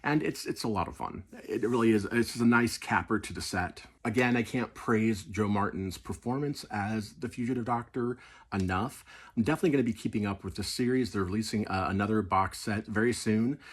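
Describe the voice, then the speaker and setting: A man's voice: lowish voice, brisk, breathy edge. A middle-aged man giving an enthusiastic, conversational, casual review.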